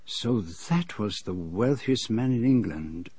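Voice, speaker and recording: Slow, fussy detective narrator. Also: male voice, fairly low pitch, expressive, warm tone, slightly rough, exaggerated voice. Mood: relieved, wry. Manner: measured, dramatic, wryly self-amused storytelling. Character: fussy, dentist-fearing male detective narrating his own story. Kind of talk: radio drama narration and dialogue.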